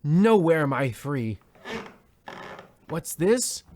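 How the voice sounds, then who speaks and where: A man's voice: a little high, very animated, extremely slow, noisy background, full character voice. An actor playing a pompous, overwrought husband half out of his wits, theatrical and florid, in a comedic old-time radio play. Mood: haunted.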